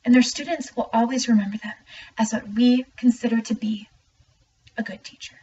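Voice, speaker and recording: Female performer as heartfelt, emphatic student monologuist, higher pitch, off-mic with slight hiss. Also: steady tone, moderate pace, dark tone, close to an everyday voice. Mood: earnest, hopeful. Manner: earnest, emphatic. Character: earnest student speaking out about teachers. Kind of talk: scripted dramatic monologue. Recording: muffled, distant mic, faint noise.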